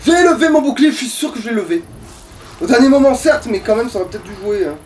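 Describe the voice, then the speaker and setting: A man's voice: very high-pitched, lively intonation, fast, far from the mic, slight background noise. A man chatting casually as he plays a video game, grumbling and swearing in an informal, conversational way. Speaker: let's play gamer, playing the game. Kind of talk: casual gaming chat, commentary.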